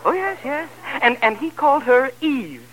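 Fussy, high-voiced insurance man. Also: sing-song, moderate pace, fairly bright tone, slight background noise, exaggerated voice. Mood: gossipy, amused. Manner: fussy, stuttering, repeating himself. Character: fussy, apologetic, tittering insurance company man. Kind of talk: 1940s radio comedy acting.

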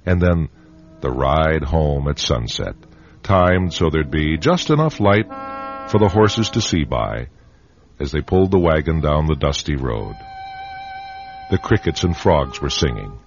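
Deep-voiced dramatic radio narrator man. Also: very animated. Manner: dramatic, measured storytelling. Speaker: host and narrator. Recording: dull radio recording over music.

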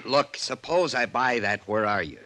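A weary police lieutenant, sharp, forceful voice. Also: male voice, medium pitch, expressive, moderate pace. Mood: weary, skeptical. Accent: American. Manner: weary, blunt, exasperated. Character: tired, skeptical male police lieutenant, gruffly dismissive. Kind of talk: old-time radio detective drama acting.

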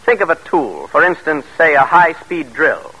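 An American man announcing in a formal, emphatic 1940s radio style, reading scripted narration with measured delivery. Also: on the high side, sing-song, bright, forceful tone, breathy edge, rough recording. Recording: vintage radio broadcast.

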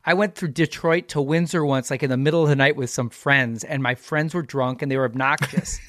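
A man's voice: medium pitch, steady tone, a little fast, fairly bright tone, dry recording, clean studio sound. A man hosting a podcast, chatting casually with wry, wisecracking remarks. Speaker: podcast host. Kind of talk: casual joking chat.

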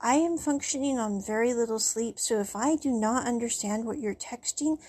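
A woman telling a casual personal story to the camera, chatty and animated, with frequent laughs. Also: on the high side, normal speed, breathy edge. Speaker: vlogger.

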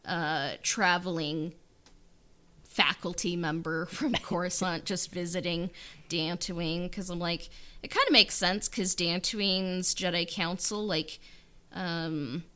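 A woman's voice: fairly low pitch, steady tone, slow pace, pushed and bright. A woman in a casual interview chat about creative work, talking hesitantly and rambling with lots of filler words. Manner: hesitant and rambling, leans heavily on "like" and "you know". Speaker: writer and director of a fan audio drama being interviewed. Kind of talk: casual behind-the-scenes chat.

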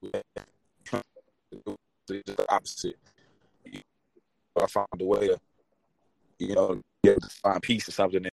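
A young man speaks with a low voice that stays flat and steady. He talks loosely in street slang and keeps checking in with "you know what I mean". The sound is dry, with no room echo. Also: a little slow, gravelly, pauses often. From Detroit, Michigan. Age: young adult. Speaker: guest, boxer and entrepreneur. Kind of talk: casual interview talk.

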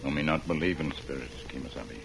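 A stoic sidekick scout from an old radio western, super low voice, choppy broken english. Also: male voice, quick pace, vocal fry, slight background noise, rough recording. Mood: low, guarded. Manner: terse, clipped, stilted broken English.

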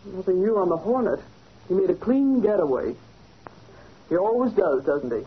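A woman's voice: on the low side, expressive, moderate pace, husky. A woman playing a spirited, outspoken young newspaper secretary, pert and opinionated, with an American accent in 1940s radio style. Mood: pert, chatty. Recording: muffled vintage radio, faint hiss.

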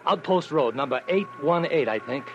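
An actor playing a talkative, wisecracking city cab driver in 1940s radio drama style, slangy and pally. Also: male voice, a little high, animated, normal speed, lightly acted. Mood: flustered, chatty. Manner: slangy, calls people pal. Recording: muffled old radio recording, loud engine noise.

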